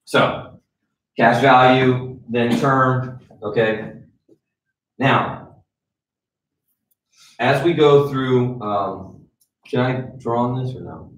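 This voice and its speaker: A man's voice: mid-range voice, very slow, pauses often. Man, forties, sales trainer, direct coaching, roomy echo, faint background noise. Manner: direct, animated coaching with frequent "okay?" check-ins. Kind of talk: live training session. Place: conference room with a wall screen. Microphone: far camera. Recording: echoey room from far off.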